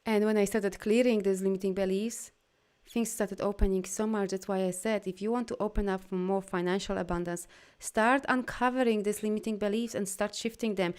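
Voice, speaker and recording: A woman's voice: fairly even intonation, a little fast, a little mellow, clear, resonant tone. A woman with a Polish accent, an energy healer and coach, talking live to her viewers and answering comments in a heartfelt, affirming way. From Poland. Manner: heartfelt, affirming, rises in pitch and volume when emphatic.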